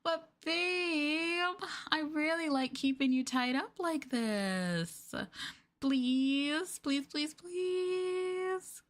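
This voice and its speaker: A woman's voice: high voice, a bit bright, heavily acted. A woman playing an obsessively possessive yandere girlfriend in an ASMR roleplay, cooing and coaxing. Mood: pleading. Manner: cooing, coaxing, with murmurs and giggles.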